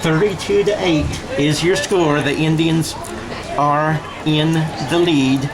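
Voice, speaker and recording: Male amateur football play-by-play announcer, high voice, short bursts of clock and score, live outdoors. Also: fairly even intonation, slow, poor audio.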